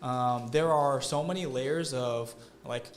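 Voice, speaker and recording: An American man talks through his answers in a relaxed interview, his voice sitting in a middle register. He leans on fillers and checks in with "right?" as he goes. A faint hiss of background noise sits under the recording. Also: mid-range voice, slow pace. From United States. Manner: thoughtful, frequent fillers and "right?" tags. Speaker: guest. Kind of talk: conversational interview.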